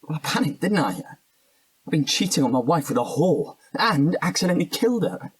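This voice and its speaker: Cornered british suspect, husky voice, jumpy pitch, defensive and faltering, audio drama. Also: male voice, very animated, moderate pace, exaggerated voice. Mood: distraught, confessing. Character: volatile, defensive male murder suspect under interrogation.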